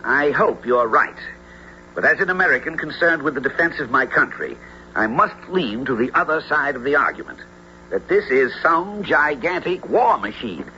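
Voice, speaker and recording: An earnest government minister in a radio drama. He is formal and insistent, with a sharp, fairly high voice. The sound is muffled with background noise. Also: expressive, moderate pace. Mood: grave, formal. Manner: formal, emphatic, persuasive. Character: earnest, insistent American Secretary of the Marine. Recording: dull, noisy radio drama recording.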